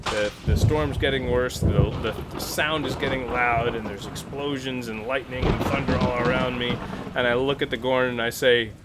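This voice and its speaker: A man's voice: medium pitch, fairly even intonation, moderate pace. A man playing a tabletop sci-fi role-playing game, chatting casually and jokily as he narrates his character's actions in stop-start phrases. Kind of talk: casual improvised actual-play chat. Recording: noisy, rain and engine-like ambience behind.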